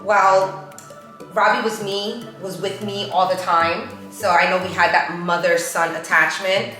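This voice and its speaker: Female co-host from the Dominican Republic, emphatic and casual, bright tone, faint music underneath. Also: medium pitch, steady tone, a little slow. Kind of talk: casual chat show talk. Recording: very echoey room, faint music.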